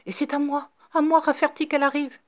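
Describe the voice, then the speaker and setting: A woman voicing a frantic, excitable male newspaper reporter, shrill and breathless with urgency. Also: brisk, husky, full character voice. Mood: excited.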